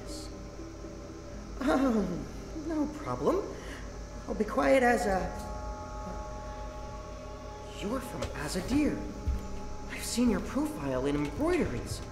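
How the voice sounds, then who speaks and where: An actor voicing a nervous male engineer who stammers and talks in a flustered, excitable way. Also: high voice, unhurried, pauses often, heavily acted. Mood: starstruck. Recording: roomy game audio with reverb and music.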